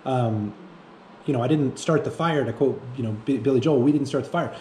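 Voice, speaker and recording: Man in his thirties, mellow medium-pitched voice, reflective unscripted monologue, halting phrasing, roomy echo. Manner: halting, searching for words, repeating himself. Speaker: fitness vlogger. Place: indoor room with bare walls. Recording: echoey room with faint background noise.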